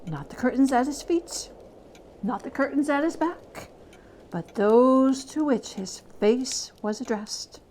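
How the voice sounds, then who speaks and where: A woman giving a dramatic reading of a classic story, theatrical, lingering on words and dropping into hushed tones. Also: sits high, animated, slow pace, slight background noise. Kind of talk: dramatic reading of literary narration.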